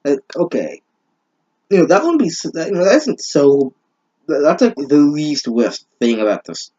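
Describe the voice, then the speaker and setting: A man's voice: a little high, sing-song, moderate pace, warm tone, lo-fi sound. A man giving a mocking reading of fan fiction, breaking in with exasperated, sarcastic, sweary asides. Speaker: host of a bad-fanfiction reading series.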